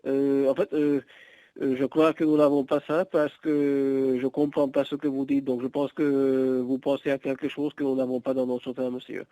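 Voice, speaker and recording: Mellow, even-toned male hotel receptionist. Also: mid-range voice, normal speed, no room sound. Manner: polite, hesitant, patient, repeats himself. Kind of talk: customer service phone call.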